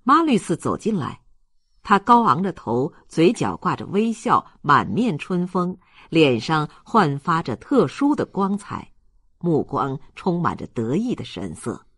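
A man's voice: fairly high pitch, very animated, measured pace, fairly bright tone, husky, dry recording, studio quality. A man narrating a literary audiobook in a dramatic storytelling style.

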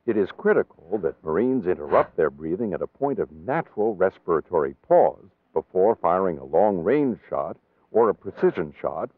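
A man with an American accent reading scripted training-film narration in a measured, formal, precisely enunciated way. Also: low-pitched, husky, dry recording, narrow, tinny audio.

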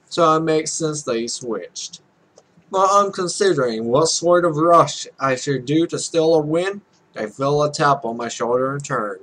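Young man reading slowly, sweary let's player. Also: a little high, fairly bright tone, poor audio. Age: young adult. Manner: irreverent, sweary. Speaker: let's play host. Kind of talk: let's play, reading game text aloud with casual asides.

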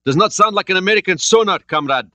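A man's voice: high-pitched, lively intonation, fast talker, sharp tone, no echo, voice pushed up, exaggerated voice. Man doing a nervous soviet sonar petty officer, raised voice, hesitant. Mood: anxious. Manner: hesitant, anxious reporting.

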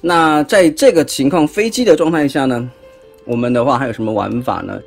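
A man's voice: mid-range voice, fairly quick, creaky, soft background music. A man with a Taiwanese accent giving a chatty, casual hands-on toy review, often tossing in rhetorical questions. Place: indoors at a tabletop.

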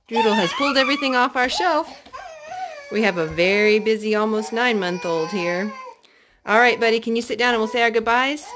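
A woman speaking as a mother leading storytime at home for a small child, in an expressive, sing-song, encouraging way. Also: a little high, background noise. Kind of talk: child-directed storytelling and chat. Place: carpeted room and wood-floored room at home.

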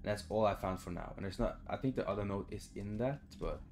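A man's voice: on the low side, fast talker, vocal fry, darkish tone, soft background music. A young adult man giving casual, muttered video game commentary indoors, frustrated and swearing freely. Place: indoor room at home.

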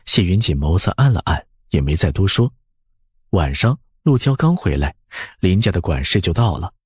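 一位男性有声书播讲人，用富有表现力的方式照稿讲述故事。. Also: male voice, low voice, very animated, moderate pace, darkish tone, slightly rough, no room sound, studio quality. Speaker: audiobook narrator. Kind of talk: expressive scripted story narration.

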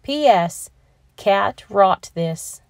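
Earnest storybook pupil, declamatory and emphatic, measured pace, varied pitch read by a woman. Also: expressive, creaky, no room sound, studio quality, full character voice. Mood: indignant. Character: earnest schoolchildren solemnly reciting their letter.

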